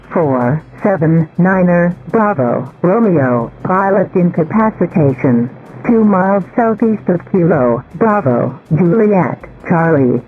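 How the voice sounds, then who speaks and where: Slow automated male radio announcement. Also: high-pitched, slightly rough. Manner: calm, flat, word-by-word phrasing. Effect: aviation radio. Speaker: automated aircraft emergency announcement system. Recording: tinny narrow-band radio transmission.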